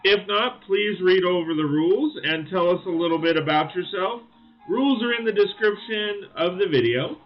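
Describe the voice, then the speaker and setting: A man hosting a casual live stream, thinking aloud with lots of fillers and greeting viewers, his voice slightly raspy. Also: sits high, normal speed, dull audio, faint music behind. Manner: friendly greetings to chat viewers. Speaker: livestream host. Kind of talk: casual live-stream chat while building.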